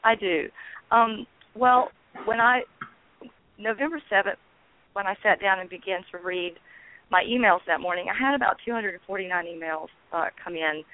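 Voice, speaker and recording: An earnest woman calls in as a guest and tells her story, pausing often with ums. Her voice comes through a narrow, tinny phone line. The sound is dry and close. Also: medium pitch, no room sound. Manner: earnest, halting with frequent ums. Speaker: guest, founder of a peace blogging network. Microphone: telephone.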